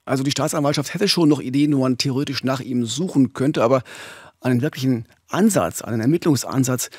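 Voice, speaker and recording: Husky man hosting a true-crime podcast. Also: medium pitch, a little fast, a little mellow, dry recording. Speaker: podcast host. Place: small wood-panelled studio. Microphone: studio condenser mic with pop filter.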